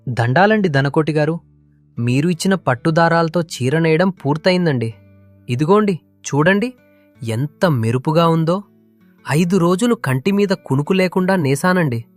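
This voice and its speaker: A man's voice: on the high side, animated, moderate pace, no echo, faint music behind, close to an everyday voice. A man playing an honest, poor village handloom weaver, earnest, humble and weary, in a moral story drama. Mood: respectful, hopeful. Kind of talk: audio moral story acting.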